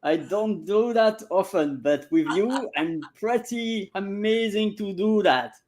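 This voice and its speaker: A man in his forties with a Belgian French accent, a guest in a casual interview, often pausing with fillers while he searches for words. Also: high-pitched, darkish tone. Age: 40s.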